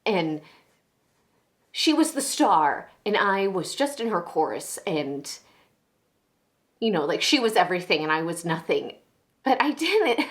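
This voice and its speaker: A woman playing a defensive, cracking young woman under interrogation in a dramatic monologue, scattered, halting and pleading, close to breaking down. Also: lowish voice, sing-song. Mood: bitter, hurt. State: distraught, breaking into tears.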